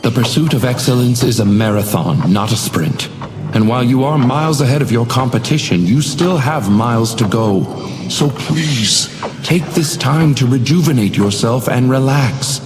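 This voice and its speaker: A man's voice: mid-range voice, animated, normal speed, noisy background, lightly acted. Man playing a suave, swooping, sinister hotel doorman. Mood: courteous, insinuating. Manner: theatrical, unctuously polite, insinuating. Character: uncanny supernatural hotel doorman, suavely menacing and courteous. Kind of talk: scripted video game character lines. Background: music.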